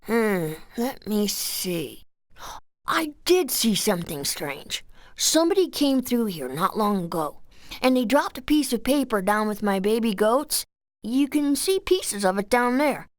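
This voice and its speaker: A woman's voice: a little high, sing-song, measured pace, dry recording. A woman voicing a friendly, curious young goat herder boy in a game, with a folksy, helpful manner. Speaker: voice actor. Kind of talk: scripted game character dialogue.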